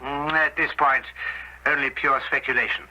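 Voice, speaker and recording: An actor playing a methodical, self-assured police inspector in a radio drama, with a deliberate, clipped official manner. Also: male voice, mid-range voice, steady tone, bright, forceful tone, muffled recording. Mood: businesslike. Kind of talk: 1970s radio drama acting. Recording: noisy old radio broadcast recording.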